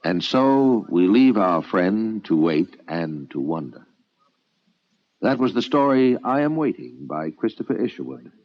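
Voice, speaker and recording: A male radio host introduces with old-time formality. His voice sits fairly low, and the recording is dull and lacking in detail. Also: very animated, a little slow. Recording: muffled vintage radio recording.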